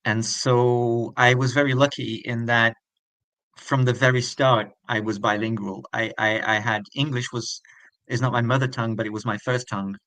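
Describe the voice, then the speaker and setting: A man in his forties from Brazil, whose first language is Portuguese, telling his story as an interview guest with many pauses and restarts. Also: mid-range voice, fairly even intonation, a bit bright, no echo, rough recording. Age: 40s. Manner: thoughtful, many restarts and repeated words.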